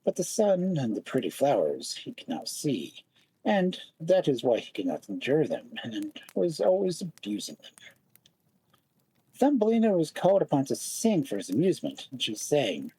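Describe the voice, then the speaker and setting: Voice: medium pitch, huge pitch swings, moderate pace, mellow, husky, poor audio. A storyteller narrating a fairy tale expressively, with dramatic, lingering phrasing.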